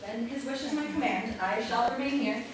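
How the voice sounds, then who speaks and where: A woman's voice: fairly high pitch, brisk, lightly acted. Woman playing a haughty lady, very echoey recording. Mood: arch, cool. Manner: arch, formal, condescending. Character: haughty, elegant society lady, coolly sharp. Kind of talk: staged reading of a stage comedy. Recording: very echoey, distant mic, noisy background.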